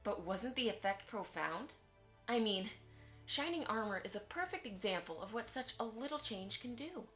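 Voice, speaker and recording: A woman's voice: a little high, lively intonation, normal speed, fairly bright tone. Woman doing chatty pony mom peacemaker, polite, audio drama, bit of music under it. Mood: conversational, pointed.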